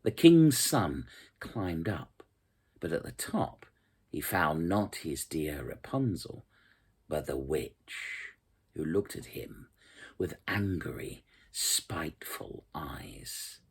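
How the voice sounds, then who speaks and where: Very expressive, unhurried storyteller with husky voice. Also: very deep voice. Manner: expressive, dramatic, measured. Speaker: storyteller reading fairy tales aloud. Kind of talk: scripted storybook narration.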